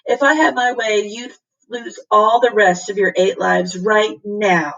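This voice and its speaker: A woman's voice: mid-range voice, fairly even intonation, unhurried, fairly bright tone, far from the mic, dull audio. Woman as a proud, indignant storybook girl.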